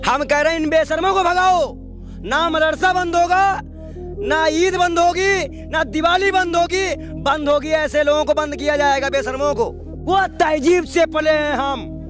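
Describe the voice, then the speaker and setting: A man's voice: extremely high pitch, a little slow, a bit bright, faint music behind. A man in a heated TV debate, speaking emphatically and indignantly with repeated phrases.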